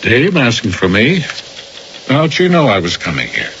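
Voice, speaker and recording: A man's voice: mid-range voice, huge pitch swings, moderate pace. An actor playing a plain-spoken, polite police patrolman in 1980 radio drama style. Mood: puzzled. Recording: dull, noisy old radio recording.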